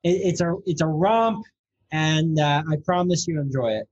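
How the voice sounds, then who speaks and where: A man's voice: a little high, normal speed, darkish tone, husky, dull audio. A man chatting as a podcast guest over a video call, enthusiastic and promotional. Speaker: film director, guest. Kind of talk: casual chat.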